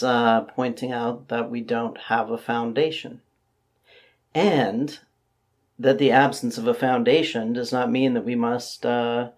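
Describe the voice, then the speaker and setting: A man with a hoarse voice talks through viewer comments in a calm, reasoning tone. His pitch sits in the middle range, and he often pauses, says "uh," or restarts a sentence while working out his point. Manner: measured, analytical, with frequent fillers and restarts. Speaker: channel host answering viewer comments. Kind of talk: casual spoken commentary.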